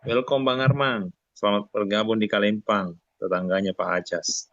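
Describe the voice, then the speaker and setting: A man's voice: fairly low pitch, steady tone, moderate pace, a bit bright, dry recording, muffled recording. A man from chatting casually as a live streamer.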